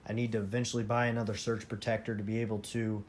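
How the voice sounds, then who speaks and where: Casual man vlogging over clear background noise. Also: fairly low pitch, level tone, quick pace. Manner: repeats phrases for emphasis, emphatic. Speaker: hobbyist collector presenting a collection. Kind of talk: casual unscripted vlog talk. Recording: clear background noise, amateur room recording.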